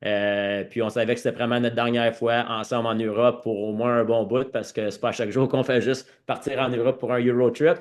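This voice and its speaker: Male podcast guest, French Canadian accent, medium pitch, conversational storyteller who mixes in English words. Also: little pitch movement, brisk, few pauses. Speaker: podcast guest, former hockey player.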